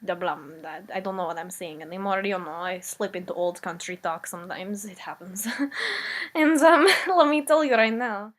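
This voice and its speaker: A woman playing a rambling immigrant woman from the old country, talking in broken English with a meandering, deadpan comic manner. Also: medium pitch, normal speed, natural voice. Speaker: comedic character. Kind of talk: improvised comic character monologue.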